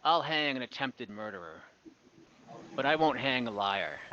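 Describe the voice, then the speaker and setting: Man playing a proud, blunt bandit prisoner, bright voice. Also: mid-range voice, animated, unhurried, dull audio, slight background noise. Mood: proud, frank. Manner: blunt, plain-spoken declarations.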